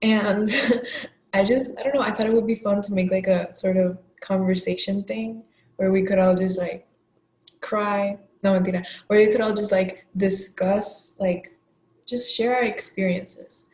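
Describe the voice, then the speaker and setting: A woman's voice: medium pitch, steady tone, a little slow, a little mellow, roomy sound. A woman hosting a casual chat among friends, talking loosely with lots of fillers and frequent laughs. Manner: chatty, laughs often, many "like" fillers. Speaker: host.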